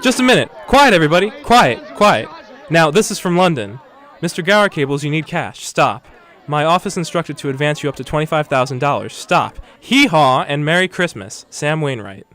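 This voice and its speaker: A man's voice: on the high side, expressive, moderate pace, fairly bright tone. A man playing a cheerful, bustling friend who takes charge of a happy crowd in a radio drama. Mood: commanding, announcing. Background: crowd murmur.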